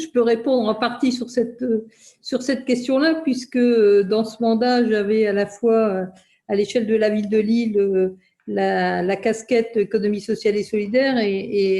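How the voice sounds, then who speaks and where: A woman who is an elected city official, giving an explanatory answer in an online meeting, with measured, hesitant phrasing. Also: on the high side, dark tone. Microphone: video call.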